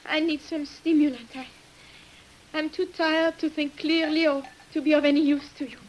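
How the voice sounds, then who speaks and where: An actress playing a weary, secretive French nurse in an old radio drama, speaking formally and hesitantly with breathy sighs. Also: female voice, sits high, steady tone, moderate pace, natural voice, low-quality recording. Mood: exhausted, faint. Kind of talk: 1950s radio drama acting. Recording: hissy old radio recording.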